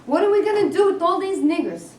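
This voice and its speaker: A woman's voice: very high voice, lively intonation, fast, darkish tone. A woman in a staged play reading, playing a hot-headed, hateful, griping teenage boy who is a Confederate private, in folksy speech. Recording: echoey room from far off, faint noise.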